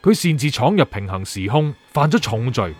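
A man acts a stern male superior in a radio drama. He speaks with terse authority in a mid-pitched voice, with music behind him. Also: very animated, normal speed, a little mellow. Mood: stern, commanding. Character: stern, commanding male superior of soul prosecutors. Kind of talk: Cantonese radio drama acting.

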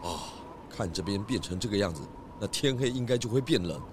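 A man's voice: fairly low pitch, expressive, a little fast, noisy background, natural voice. A man playing a bossy, exasperated detective chief in a children's audio drama, theatrical and prone to scolding. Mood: grumbling. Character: grown male police detective chief, bossy, exasperated, comic. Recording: music behind the voice.

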